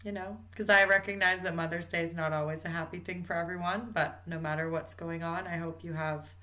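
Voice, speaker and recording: Low-voiced chatty woman co-host. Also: steady tone, brisk, pushed and bright. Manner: chatty, upbeat, many fillers. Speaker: podcast co-host. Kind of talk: casual chat.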